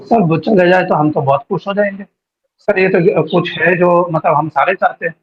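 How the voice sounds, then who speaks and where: A man with a high, rough-edged voice talks at length as a guest in an informal political interview. He peppers his talk with English words, and the audio is somewhat dull and lo-fi. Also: fairly even intonation, normal speed. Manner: talkative, mixes English words into Hindi. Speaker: guest giving local political account.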